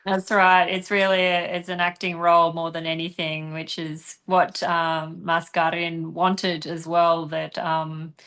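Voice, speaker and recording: A young adult woman answering interview questions in a relaxed, chatty way, often laughing and pausing with ums. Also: lowish voice. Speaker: interviewed actress and dancer.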